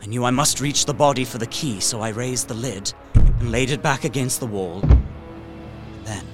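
A man playing an earnest, anguished young English gentleman held prisoner, narrating his diary dramatically in an audio drama. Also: medium pitch, normal speed, natural voice. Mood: urgent horror. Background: music under the voice.

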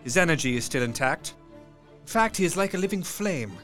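A grave, troubled Victorian doctor. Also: male voice, on the high side, animated, brisk, pushed and bright, faint music behind. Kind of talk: audio drama narration.

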